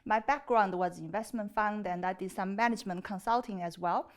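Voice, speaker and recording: A woman in her thirties from China with a Chinese accent, giving an earnest, slightly hesitant personal pitch. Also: a little fast. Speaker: property developer pitching as a contestant. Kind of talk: personal pitch and chat on a TV show.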